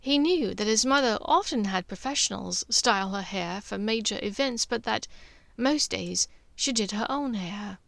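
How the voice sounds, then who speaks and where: A woman's voice: medium pitch, lively intonation, moderate pace, creaky, a bit bright, dry recording. A woman reading scripted fiction aloud as a story narrator. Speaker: podfic narrator.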